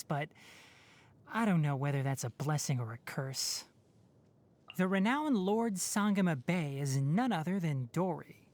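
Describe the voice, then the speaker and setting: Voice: medium pitch, a little mellow, lightly acted. Casting: actor to play a youthful male fox-eared forest scholar for a game, articulate, lecturing, dry-witted. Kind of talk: scripted game character voice lines.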